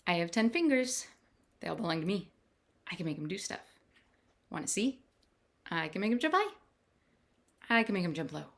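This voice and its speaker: A woman hosting a children's storytime from home, reading aloud in an expressive, deliberate, sing-song way. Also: fairly low pitch. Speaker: children's storytime host, librarian. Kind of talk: read-aloud picture book storytelling for young children. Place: at home, indoors.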